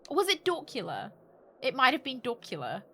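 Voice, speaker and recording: A woman's voice: sits high, wildly swooping pitch, a little fast, background noise. A British woman livestreaming a game, chatting casually and jokily with sarcastic asides and some swearing. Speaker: game streamer. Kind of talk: casual livestream chat while playing a game.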